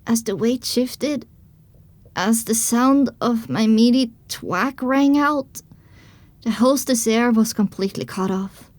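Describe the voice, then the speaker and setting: A woman reading scripted first-person narration as the heroine of an audio drama, recounting her dark adventure. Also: a little high, takes it slowly, dry recording. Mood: somber recounting.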